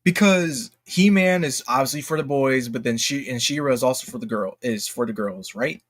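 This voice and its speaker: A man's voice: mid-range voice, normal speed. A man hosting a casual livestream, speaking in informal slang with a self-deprecating, jokey manner and often repeating himself as he goes. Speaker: livestream host.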